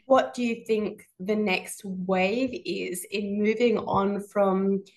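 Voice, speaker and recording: A woman's voice: medium pitch, fairly even intonation, slow pace, darkish tone. A woman hosting an interview, asking reflective, probing questions in a thoughtful manner. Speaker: podcast interviewer.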